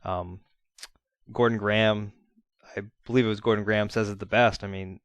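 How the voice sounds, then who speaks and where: A man giving an informal spoken debrief in an earnest, plain-spoken, halting manner, like a trainer talking to colleagues. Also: on the low side, fairly even intonation, no echo. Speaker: fire-rescue commentator and trainer.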